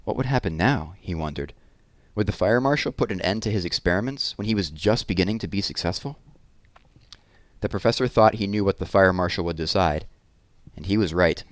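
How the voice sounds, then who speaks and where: A man's voice: sits low, fairly quick, dry recording. A man reading a children's true story aloud as host and narrator.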